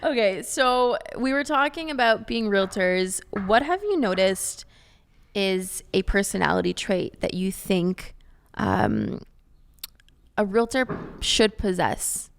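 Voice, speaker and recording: A woman's voice: mid-range voice, big pitch swings, slow pace, vocal fry, clean tone. Earnest woman hosting a podcast, mid-range voice. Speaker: podcast host. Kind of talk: casual unscripted podcast chat.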